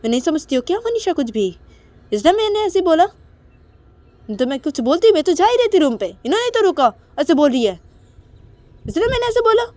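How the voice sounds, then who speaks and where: A woman's voice: very high-pitched, big pitch swings, moderate pace, pauses often, darkish tone. A woman voicing an angry, defiant young daughter in an audio drama, arguing indignantly and repeating her phrases insistently.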